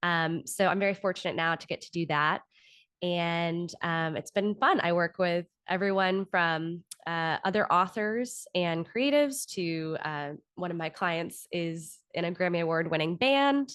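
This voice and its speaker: A woman's voice: fairly low pitch, a little slow, a bit bright, smooth, no room sound, studio quality. A woman in her thirties with an American accent, giving conversational answers as an interview guest. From Florida, United States. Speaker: podcast guest, author and actor.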